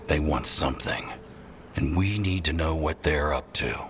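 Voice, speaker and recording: Gruff, very deep forest commander. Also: male voice, moderate pace, fairly bright tone, a little hiss or hum. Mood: grim, commanding. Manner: gruff, terse. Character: gruff, stern forest scout leader, grown man. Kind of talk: fantasy video game acting.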